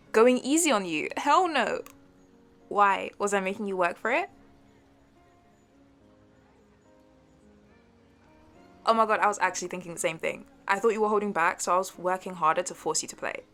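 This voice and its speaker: A woman's voice: medium pitch, animated, fairly bright tone, soft background music, natural voice. A young woman playing a sporty, competitive childhood best friend in an audio roleplay, with a playful, teasing, slightly hesitant manner. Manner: playful, teasing, with hesitant halting starts and giggles. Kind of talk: audio roleplay acting, one-sided conversation with listener.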